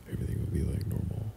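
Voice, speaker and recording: A young man playing an affectionate boyfriend in intimate ASMR roleplay, breathy and half-whispering, speaking hesitantly. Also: background noise. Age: young adult. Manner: slow, hesitant, soft chuckles between phrases. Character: tender, affectionate young boyfriend talking to his partner. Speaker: audio roleplay performer.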